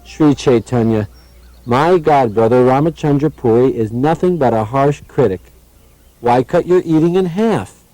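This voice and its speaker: A man's voice: mid-range voice, measured pace, mellow, no room sound, soft background music, natural voice, low-quality recording. A man playing an earnest, indignant monk in a puppet show, pleading persuasively.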